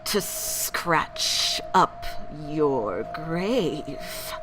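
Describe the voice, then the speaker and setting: Woman playing a very slow, ominous monster. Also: low voice, sing-song, background noise, voice pushed down, exaggerated voice. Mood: ominous threatening. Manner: very slow, drawn-out, ominous delivery. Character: strange menacing chimney creature with big claws and teeth.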